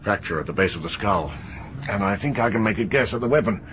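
Matter-of-fact village doctor in a radio play, deep-ish, clipped and measured. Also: male voice, lively intonation, fairly bright tone, slight background noise. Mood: clinical. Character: calm, dry country doctor. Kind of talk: 1980s BBC radio drama acting.

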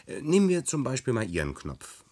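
A man's voice: mid-range voice, wildly swooping pitch, fairly quick, darkish tone. An actor playing a sceptical younger trainee in a radio play, questioning and challenging in his manner. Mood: probing, challenging. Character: sceptical, probing younger male trainee worker.

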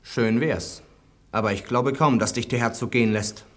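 An actor playing a cautious young lieutenant in a radio drama, a concerned friend who speaks in a sober, doubting way. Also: male voice, medium pitch, normal speed, fairly bright tone, close to an everyday voice. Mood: sceptical, cautious.

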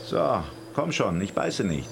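An actor playing a brusque, official border customs officer who is dryly obliging, in a radio play. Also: male voice, on the low side, very animated, slow, creaky, roomy sound, over music, full character voice. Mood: curt, reassuring. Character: gruff but helpful male Swiss border customs officer.

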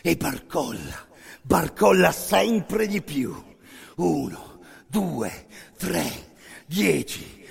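A man narrating a radio theatre monologue, intense and incantatory, with repeated words and dramatic pauses. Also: fairly high pitch, creaky, breathy edge. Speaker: actor narrating a stage monologue. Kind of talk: dramatic second-person storytelling, radio theatre. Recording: long reverberant hall sound.

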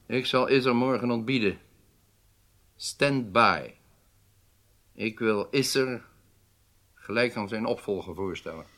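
A man's voice: medium pitch, slow, long pauses, fairly bright tone. An actor playing a stern male head of government in a radio drama, speaking formally with weighty emphasis. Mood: grave, commanding.

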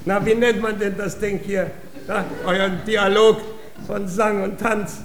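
An actor playing a naive, blustering rich gentleman, crude and eager, with a folksy, bumbling manner in a German radio comedy. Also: high-pitched, flat delivery, a little slow, reverberant, a little hiss or hum. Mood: jovial, bumbling. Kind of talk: German radio play, theatrical comedy acting.

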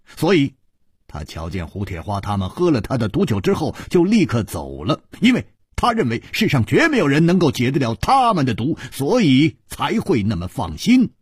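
A man voicing a calm, shrewd hero in a wuxia audiobook, reasoning deliberately with pointed amusement. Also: medium pitch, slightly rough, dry recording, clean studio sound, voice pushed up, heavily acted. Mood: composed, probing.

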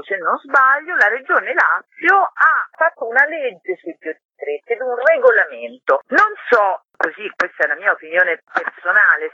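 A woman being interviewed on the radio as a committee member, explaining conversationally and pausing mid-phrase to find her words. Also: on the low side, pushed and bright, slightly rough, dry recording. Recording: dull, band-limited phone-like line.

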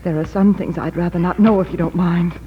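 A woman's voice: fairly low pitch, fairly even intonation, fairly quick, muffled recording, close to an everyday voice. An actress playing a plucky, loyal young woman with a wry edge in a 1940s radio drama. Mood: uneasy. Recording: noisy, band-limited 1940s radio recording.

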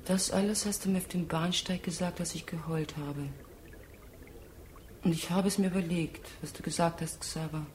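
An actress in a German radio drama playing a wounded, wistful woman who talks in expressive short phrases. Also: fairly low pitch, normal speed, slight background noise, natural voice.

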